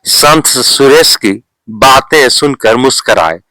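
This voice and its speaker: A male storyteller reads a scripted moral tale. His delivery is very slow and deliberate, his pitch sits in the middle, and the sound is dry and close. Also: sharp tone, no echo, poor audio.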